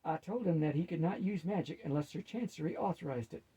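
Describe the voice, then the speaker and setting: A man's voice: a little high, fast, mellow, breathy edge, highs cut off. A man voicing a sensible, businesslike undead male team leader in a matter-of-fact way for a storybook. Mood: firm.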